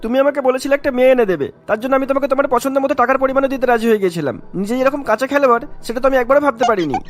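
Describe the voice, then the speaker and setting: A man's voice: very high voice, rapid-fire, soft background music. A man playing a scolding, villainous middle-aged child trafficker in a cartoon. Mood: angry, demanding. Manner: scolding, demanding. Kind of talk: cartoon dialogue acting.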